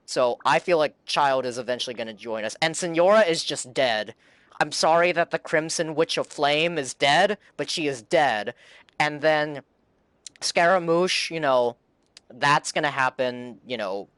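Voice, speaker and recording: A man's voice: medium pitch, a bit bright, dry recording. A man giving casual, rambling live reaction commentary as a game streamer on a close microphone. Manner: rambling, informal speculation.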